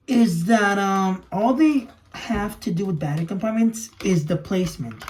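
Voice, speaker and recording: Young man with lively pitch, vlogging. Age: young adult. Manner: narrates own actions while handling toys. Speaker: toy video creator. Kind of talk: casual hands-on demonstration vlog. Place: small room at home. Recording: noisy home recording with clicks.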